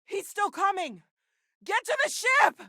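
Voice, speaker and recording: A woman's voice: extremely high pitch, sing-song, unhurried, pushed and bright, dry recording, full character voice. Brainy lady scientist for a video game, earnest n a bit anxious, dry studio sound. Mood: urgent, alarmed. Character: earnest, brainy young woman scientist, eager but anxious.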